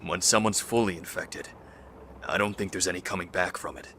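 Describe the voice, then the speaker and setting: A man playing a calm, learned, considerate survivor in an audio drama, speaking formally and carefully. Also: low-pitched, a little fast, a little hiss or hum. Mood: sober, explanatory.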